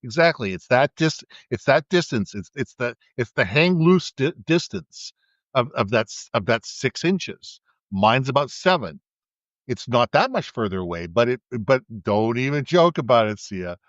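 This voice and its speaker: A man co-hosting a casual podcast, talking at length in a booming voice, with frequent restarts, into a USB mic kept a little away from his face. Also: animated, normal speed, a bit bright, no room sound, lo-fi sound. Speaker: podcast co-host. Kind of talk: casual chat. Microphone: USB condenser mic with windscreen, held back from the mouth.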